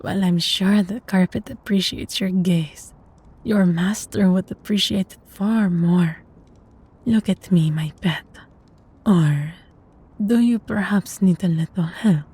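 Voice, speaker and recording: Casting: female actor for a sadistic vampire mistress, unhurried and darkly mellow, possessive and condescending, intimate audio roleplay. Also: fairly low pitch, heavily acted. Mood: commanding, teasing. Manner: slow, teasing, condescending, often dropping to a breathy whisper.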